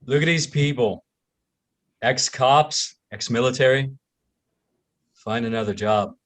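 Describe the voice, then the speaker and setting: Man playing stern discouraging investigator, middle-pitched voice, screenplay drama read. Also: takes it slowly, a bit bright. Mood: cold, discouraging. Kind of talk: screenplay table read acting.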